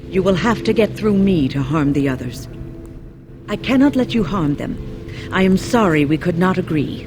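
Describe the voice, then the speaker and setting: A principled elderly female mage, played by an actress, speaks firmly and formally. She admonishes like a stern elder in a fantasy game, with music clearly behind her. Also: fairly low pitch, expressive, normal speed, lightly acted. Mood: solemn defiance.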